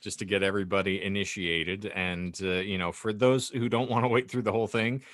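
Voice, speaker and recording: A man's voice: fairly low pitch, steady tone, fairly quick, fairly bright tone, no echo. A man hosting a podcast with wry, mock-formal, wordy comedic banter. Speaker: podcast host.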